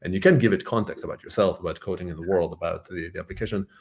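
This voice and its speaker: A man's voice: low voice, rapid-fire, a little mellow. A man hosting a conversational tech podcast, thoughtfully building his questions step by step. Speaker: podcast host.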